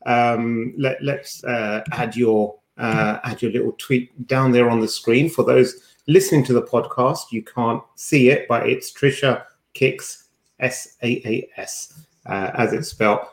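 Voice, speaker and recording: Man, podcast host, teasing dry humour, mid-pitched voice, roomy echo. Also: fairly even intonation, measured pace. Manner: teasing, dryly joking. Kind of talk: casual banter on a news discussion show. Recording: roomy, echoey sound.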